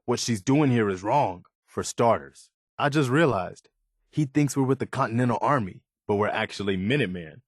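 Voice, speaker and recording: An actor playing a principled, loyal and weary young militia soldier in a video game, speaking sincerely and plainly. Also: male voice, medium pitch, expressive, moderate pace, dry recording, studio quality, lightly acted. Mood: reflective, principled. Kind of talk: video game companion dialogue.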